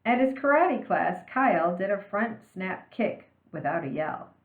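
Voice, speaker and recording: A woman reading a children's story aloud in an expressive storytelling style. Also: mid-range voice, a little slow, narrow, tinny audio. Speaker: reader of a children's novel aloud. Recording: roomy, band-limited home recording.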